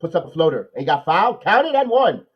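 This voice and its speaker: A man hosting a live watch-along podcast, nasal from a cold, calling plays excitedly and then talking analysis with many repeats. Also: fairly high pitch, fast talker, dull audio. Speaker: podcast host.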